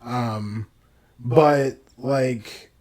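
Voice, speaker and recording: A man's voice: medium pitch, drawn-out words, darkish tone. Rambling, enthusiastic podcast co-host man. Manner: rambling with many "like" fillers, bursts of enthusiasm. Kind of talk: casual podcast chat.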